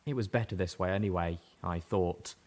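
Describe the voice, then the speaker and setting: A man reading a story aloud in first person, steady and measured. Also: low voice. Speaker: audiobook reader. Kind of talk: first-person narration of fan fiction.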